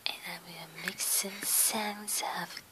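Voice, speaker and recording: A woman whose first languages are Cantonese and Mandarin, hosting a soft-spoken ASMR chat from home in a breathy whisper, hesitant and pausing often. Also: lowish voice, steady tone, background noise. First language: Cantonese and Mandarin Chinese. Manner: hesitant, simple phrasing with many pauses. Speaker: ASMR channel host. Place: at home at her computer. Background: faint keyboard typing clicks.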